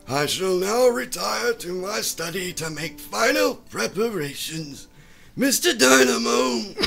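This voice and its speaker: Shrill, haughty old dying millionaire. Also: male voice, creaky, sharp tone, exaggerated voice. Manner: haughty, sputtering, theatrical. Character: sick, bitter, imperious old rich man near death. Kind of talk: comic radio-play acting.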